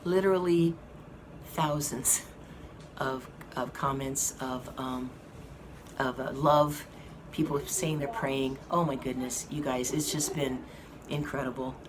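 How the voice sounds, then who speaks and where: A hoarse woman giving a slow, halting update to her viewers from a hospital room. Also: a bass voice, fairly even intonation. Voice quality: slow, halting speech with many fillers. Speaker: vlogger giving a health update. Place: hospital rehab room with an air conditioning fan. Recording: noisy room with fan hum. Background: air conditioning fan.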